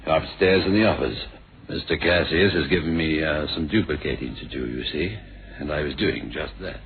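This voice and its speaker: An obliging secretary in radio drama, answers politely with slight hesitation. His voice is extremely low. The sound is thin, like an old radio broadcast. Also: moderate pace, creaky, phone-quality sound. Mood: polite, guarded. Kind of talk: 1970s radio drama acting.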